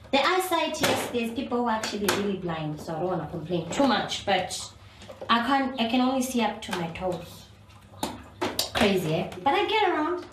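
A young woman from Zambia speaks in a candid, earnest, measured way, telling her own story. Her voice sits at a middle pitch. The recording carries a noticeable room echo with a faint hiss of noise behind it. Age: young adult. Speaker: interviewee. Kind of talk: personal testimony.

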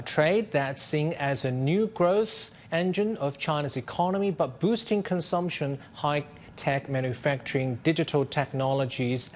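A man's voice: mid-range voice, a little hiss or hum. Man reading business news. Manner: measured, formal delivery. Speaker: news anchor.

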